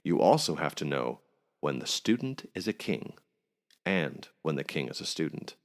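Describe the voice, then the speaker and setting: Guy reading as a jolly teasing king in a folk tale, bossy and regal, low voice that moves around. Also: very animated, normal speed, exaggerated voice. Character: jovial, commanding male king, teasing and sly.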